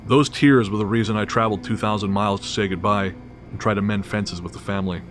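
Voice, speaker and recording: A man's voice: on the low side, fairly even intonation, quick pace, a bit bright. A man reading scripted story narration in the first person, with a wry, matter-of-fact manner. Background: clearly audible music.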